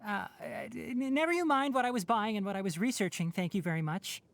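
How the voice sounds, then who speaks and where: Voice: mid-range voice, a little fast, dry recording, natural voice. Casting: actor to play a youthful male fox-eared forest scholar for a game, articulate, lecturing, dry-witted. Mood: flustered. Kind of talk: scripted game character voice lines.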